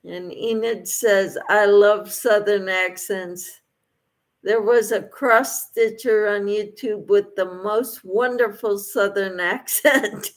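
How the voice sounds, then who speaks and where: A woman's voice: medium pitch, steady tone, takes it slowly. A woman hosting a casual livestream, reading out viewers' comments and replying to them in an encouraging way. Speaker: livestream host.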